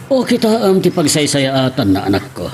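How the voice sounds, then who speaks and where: A man's voice: on the high side, huge pitch swings, moderate pace, a little mellow, slight background noise. Man acting a concerned father, swooping intonation. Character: concerned older father questioning his grown child's plans. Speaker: actor in an amateur drama. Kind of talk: Ilocano radio-style family drama acting.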